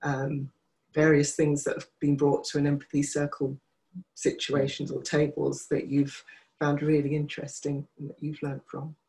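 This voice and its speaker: A woman in a group discussion, reflecting back what others say, halting with fillers. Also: sits low, monotone, dark tone, low-quality recording. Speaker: listener reflecting back in an empathy circle.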